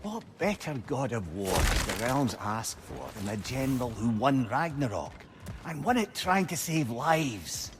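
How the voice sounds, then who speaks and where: An actor playing a chatty, wry older companion with a Scottish accent in a video game, teasing and talkative. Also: male voice, medium pitch, very animated, unhurried, background noise. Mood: curious, encouraging. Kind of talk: dramatic video game dialogue.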